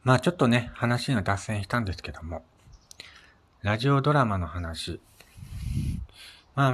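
Male solo host, low register, polite and conversational, casual radio chat. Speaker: host of a personal audio show.

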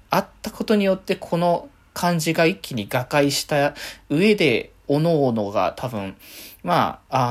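A man hosting a solo podcast, giving casual fan commentary and thinking aloud in loose, winding sentences. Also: medium pitch.

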